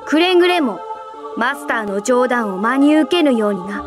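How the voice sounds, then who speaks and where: A woman's voice: fairly high pitch, normal speed, close to an everyday voice. A woman playing a stern, commanding guardian of an ancient system in a video game cutscene, speaking formally and with authority. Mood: stern, authoritative. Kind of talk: dramatic game cutscene acting. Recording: echoey reverberant hall, distant, faint music.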